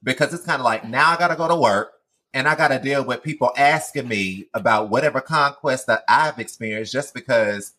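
A man's voice: mid-range voice, a little fast, pushed and bright, poor audio. A man in his late twenties co-hosting a podcast, chatting bluntly and animatedly, cursing freely. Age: 20s. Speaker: podcast co-host. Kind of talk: casual chat.

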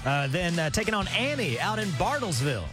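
A radio morning-show co-host, a man with a fairly high voice that sounds deeper and rougher than usual today. He teases and jokes his way through the segment. A music bed plays under him in the studio. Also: big pitch swings, brisk. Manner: teasing, jokey. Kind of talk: casual radio banter.

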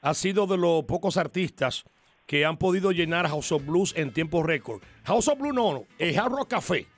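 A man's voice: fairly high pitch, animated, normal speed, faint music behind. A man co-hosting a live radio interview, emphatic and enthusiastic, addressing the audience directly. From Dominican Republic. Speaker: radio co-host.

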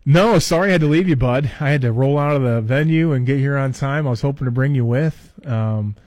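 A man's voice: medium pitch, brisk, a little mellow, no echo. A man who books concerts, chatting loosely in the studio and promoting shows. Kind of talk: casual radio chat.

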